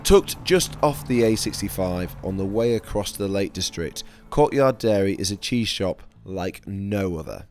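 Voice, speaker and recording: Croaky-voiced male chef hosting a podcast. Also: lowish voice, lively intonation, moderate pace, few pauses, a little mellow, a little hiss or hum. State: croaky, losing his voice. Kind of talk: scripted podcast narration.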